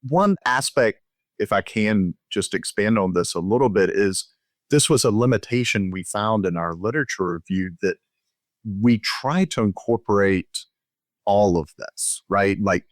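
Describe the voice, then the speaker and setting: A man with a low-pitched voice gives expert medical explanation in an interview. His delivery is measured and instructional, laid out step by step. The sound is dry, with very little room in it. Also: lively intonation, dry recording. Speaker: physician guest expert.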